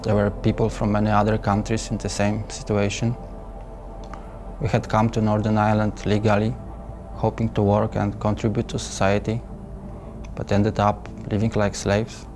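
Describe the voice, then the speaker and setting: A man from Poland calmly recounting his own experience in a measured first-person voice-over. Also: fairly low pitch. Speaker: trafficking survivor telling his story. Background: soft background music.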